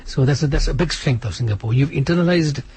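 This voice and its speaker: Male radio guest, rapid delivery, husky low-lit timbre. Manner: fast, animated, restarts often. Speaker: interview guest, author. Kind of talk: casual radio interview. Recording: radio studio.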